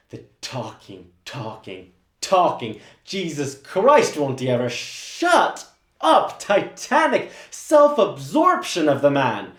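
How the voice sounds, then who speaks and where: An actor playing an angry, sarcastic young painter's assistant in a theatrical monologue, swearing in rapid tirades. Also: male voice, medium pitch, roomy sound. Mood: muttering resentment.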